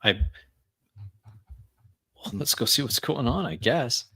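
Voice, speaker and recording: A man's voice: mid-range voice, expressive, slow, gravelly, pushed and bright, slightly rough, no echo, clean studio sound. A man playing a tabletop roleplaying game, speaking in character and narrating his own actions.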